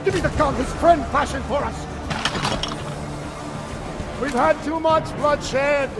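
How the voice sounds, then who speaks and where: High-pitched, gloating villainous nobleman. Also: takes it slowly. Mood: gloating, menacing. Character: menacing, gloating, theatrical villainous Italian nobleman conqueror. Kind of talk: dramatic video game acting. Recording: clear music behind.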